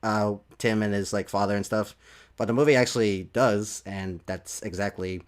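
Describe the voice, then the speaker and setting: A man with a fairly low voice talks casually, as on a movie podcast. His delivery is halting, and he often doubles back to rephrase what he is saying. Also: fairly even intonation.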